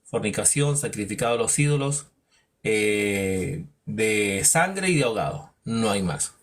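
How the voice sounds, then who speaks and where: A man's voice: lively intonation, unhurried. Emphatic male Bible teacher. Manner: rhetorical, acts out imagined dialogues; emphatic, drops low on verdicts. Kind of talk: preaching-style Bible lesson.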